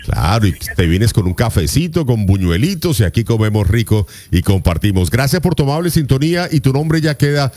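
A man's voice: mid-range voice, sing-song, a little fast, hardly any pauses, darkish tone. A man hosting a live morning radio call-in show, chatting and reading out announcements with a sing-song announcer delivery.

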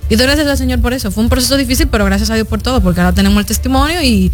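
A woman's voice: fairly high pitch, racing, faint music behind. A woman in her twenties with a Dominican Spanish accent, speaking earnestly and reflectively as an interview guest, hesitating between phrases. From Dominican Republic. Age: 20s. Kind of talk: conversational radio interview.

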